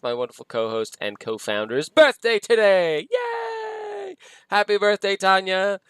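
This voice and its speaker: A man's voice: on the high side, fairly bright tone, no echo. Sniffly upbeat male show host. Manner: upbeat, chatty, with fillers. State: sniffly from a cold. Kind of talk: casual chat.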